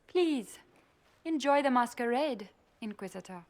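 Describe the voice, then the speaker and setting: A woman's voice: fairly high pitch, lively intonation, a little slow, a little mellow. A woman playing a courtly, gracious masked spokeswoman of an empress in a video game, formal and ceremonious. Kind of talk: video game dramatic dialogue.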